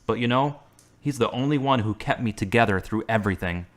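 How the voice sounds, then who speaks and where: A man's voice: on the low side, brisk, close to an everyday voice. An actor playing an earnest young man recovering from an accident, who talks sincerely and asks many questions. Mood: resolute. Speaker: hospital patient. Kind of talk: amateur web drama acting.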